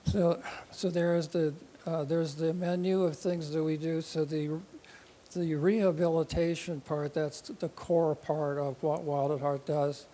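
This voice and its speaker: A man giving an informal public talk with a quiet voice, rambling and joking as he goes, his nose running from the cold air, speaking into a microphone. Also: on the high side, flat delivery, unhurried, rough recording. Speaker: presenter at a conservation society talk.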